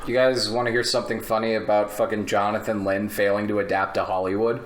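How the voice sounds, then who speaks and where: A man's voice: on the low side, flat delivery, quick pace. Man casually telling film stories. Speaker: podcast co-host.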